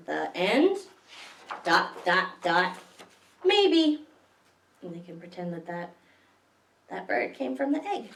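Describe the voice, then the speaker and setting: A woman's voice: medium pitch, a little hiss or hum. A woman reading picture books aloud to young children as a library story time host, expressively, with questions to her listeners. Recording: echoey room, distant microphone.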